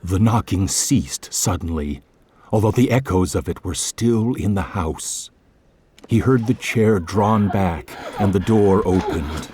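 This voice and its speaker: A man's voice: low-pitched, a little slow, darkish tone, slightly rough. A man narrating a classic horror story in a dramatic, suspenseful, theatrical way. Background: music.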